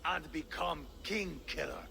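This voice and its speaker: An actor playing a bloodthirsty, taunting Viking warrior in a video game, theatrical and unhinged, moving between whispers and roars. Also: male voice, on the high side, unhurried, gravelly, bright, forceful tone, slight background noise, exaggerated voice. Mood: gloating, taunting. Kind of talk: dramatic video game acting.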